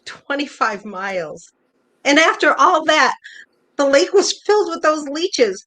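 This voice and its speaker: A woman's voice: high voice, big pitch swings, unhurried, pushed and bright, heavily acted. A woman playing a hurt, jealous older wife, pointed and probing, in a naturalistic play reading. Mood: stubborn. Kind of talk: virtual staged play reading, naturalistic dialogue.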